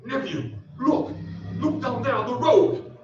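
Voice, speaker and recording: An actor playing a fussy old aristocratic ghost uncle with theatrical, archaic speech in a staged old-time radio drama. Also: male voice, very high voice, measured pace, over music. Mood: alarmed urging. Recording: roomy, distant, dull, faintly noisy stage recording.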